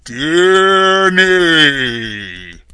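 A man voicing a great wizard in a storybook, with a big, halting, uneasy delivery. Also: fairly high pitch, big pitch swings, bright, forceful tone, clear, resonant tone, no echo, clean studio sound, exaggerated voice. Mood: startled, stalling. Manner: halting, drawn-out. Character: huge booming wizard voice, startled and uneasy.

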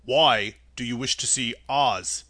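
A man reading a storybook aloud, voicing a sad, polite man made of tin who speaks slowly and mournfully. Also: bright, forceful tone, dry recording. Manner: slow, low and mournful.

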